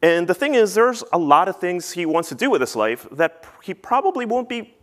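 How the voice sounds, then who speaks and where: A man's voice: high voice, big pitch swings, normal speed, a bit bright. A man, probably in his thirties, born in Peru and raised in the US, giving a conference talk and rambling with many fillers and restarts. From born in Peru, grew up in the US. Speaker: conference speaker.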